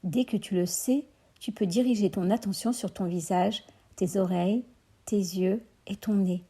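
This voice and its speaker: A woman guides a children's meditation in a very dark, mellow voice with a husky, breathy edge. She speaks gently and soothingly, like a teacher. Her instructions are deliberate, inviting the listener to pay attention. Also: mid-range voice. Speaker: schoolteacher and children's yoga teacher.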